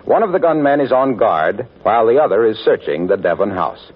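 A male announcer from 1940s radio. His mid-pitched voice swings with animated, persuasive delivery and a husky edge. It sounds tinny, like a narrow vintage broadcast. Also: fairly quick.

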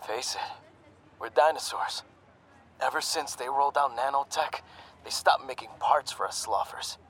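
A man plays a worn-out cyborg comrade in a dystopian radio drama. He is terse, loyal and wary, in a mid-pitched voice over faint ambient noise. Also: normal speed, a bit bright, natural voice. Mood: resigned. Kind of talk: audio drama acting.

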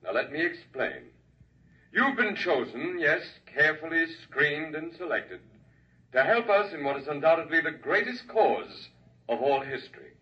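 Solemn alien orator. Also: male voice, fairly high pitch, big pitch swings. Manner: formal, measured oratory. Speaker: alien speaker addressing a crowd. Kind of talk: 1950s radio drama acting. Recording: muffled 1950s radio broadcast, distant mic.

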